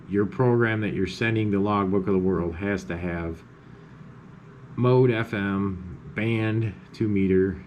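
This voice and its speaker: A man with a low-pitched voice talks through an on-screen tutorial in an informal, step-by-step way. He sounds unscripted, and there is clear background noise in the recording. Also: a little mellow, highs cut off. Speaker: amateur radio tutorial host. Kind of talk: unscripted screen-share software walkthrough.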